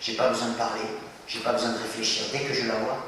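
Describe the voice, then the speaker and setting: A man's voice: mid-range voice, fast, a little hiss or hum. Man lecturing from far off in an echoey hall. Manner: animated, questions the audience, acts out little scenes. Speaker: speaker addressing students. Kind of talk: talk to a school audience.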